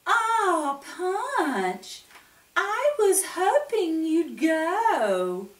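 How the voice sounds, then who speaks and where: Twiggy, a woman doing a sweet high girl. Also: sing-song, extremely slow, exaggerated voice. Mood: sweet coaxing. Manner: sweet, coaxing, breathy-soft at times. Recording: roomy, distant mic.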